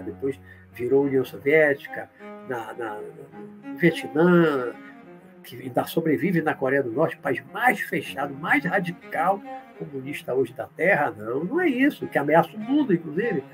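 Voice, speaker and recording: A man from Olinda, explaining spiritual ideas in a live talk and answering viewer comments, often checking in with a quick "right?". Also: on the high side. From Brazil, born in Olinda, Pernambuco. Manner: explanatory, tag questions, reads comments aloud then answers.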